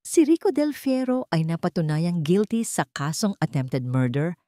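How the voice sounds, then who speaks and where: A Filipino woman tells a scripted drama story. Her voice sits low for a woman, and the recording is dry and close, with no room around it. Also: very animated, normal speed, warm tone, dry recording.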